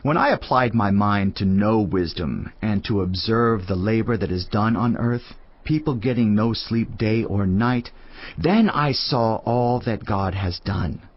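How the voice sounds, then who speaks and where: A man playing a weary, reflective teacher of wisdom in a dramatised audio Bible, husky-voiced, with a measured, earnest, declamatory delivery. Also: fairly low pitch, muffled recording. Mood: solemn, reflective. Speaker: scripture narrator. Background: music.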